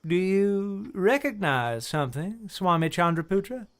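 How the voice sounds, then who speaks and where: A formal gentleman chairing a hearing in a radio play. His voice sits fairly high and swings widely in pitch, courteous and articulate, with an exasperated edge. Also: big pitch swings, a little slow, exaggerated voice.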